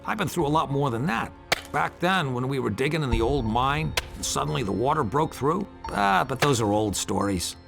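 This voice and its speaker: An actor voicing a weathered, stubborn veteran miner in a game, with a gravelly, world-weary manner. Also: male voice, mid-range voice, animated, moderate pace, music in the background. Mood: gruff. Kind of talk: game character dialogue.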